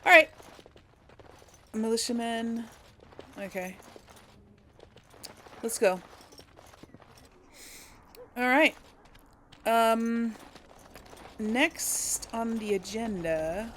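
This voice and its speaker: A woman's voice: on the high side, slow, long pauses, fairly bright tone, a little hiss or hum. A woman giving casual commentary as she role-plays through a video game, thinking aloud hesitantly. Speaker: video game streamer role-playing for an audience.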